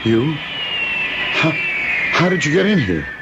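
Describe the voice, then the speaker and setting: Muffled old radio recording, rattled American husband. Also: male voice, a little high, very animated, takes it slowly, very noisy, exaggerated voice. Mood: stunned, uneasy. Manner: blunt, agitated, colloquial. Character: haunted, defensive working-class machinist husband, rattled and weary. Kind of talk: old-time radio drama acting.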